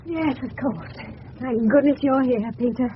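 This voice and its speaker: Genteel English mother, high voice, formal and strained, thin old radio sound. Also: measured pace. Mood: courteous, strained. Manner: formal, courteous. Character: genteel, reserved English middle-class mother under strain. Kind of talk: 1970s radio drama acting. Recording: tinny, noisy old radio broadcast.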